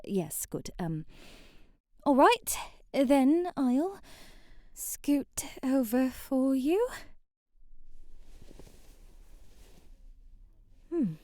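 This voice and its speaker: A woman's voice: medium pitch, mellow, dry recording, clean studio sound. A woman playing a sheltered young princess in an intimate ASMR roleplay, with prim old-fashioned diction, a coy, hesitant manner and a haughty streak. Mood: flustered, shy. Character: sheltered young princess, flustered, haughty, bookish and secretly curious.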